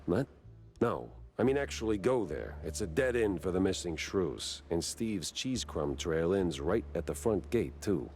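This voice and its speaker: An actor playing a world-weary, hard-boiled mouse detective with an American accent in a noir cartoon game, wry, deadpan and terse. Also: male voice, low voice, measured pace, slight background noise, heavily acted.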